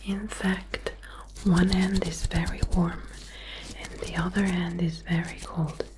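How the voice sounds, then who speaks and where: A woman doing a whispered ASMR medical role play as a doctor, giving soothing, slow, repetitive instructions. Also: on the low side, noisy background. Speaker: ASMR performer playing a doctor.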